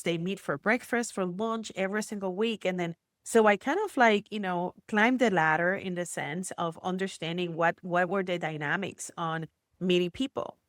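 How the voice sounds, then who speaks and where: A woman's voice: mid-range voice, no room sound. Chatty Puerto Rican woman, dry close mic. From Puerto Rico. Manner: chatty, animated storytelling with many fillers. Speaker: guest, entrepreneur. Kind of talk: casual interview chat.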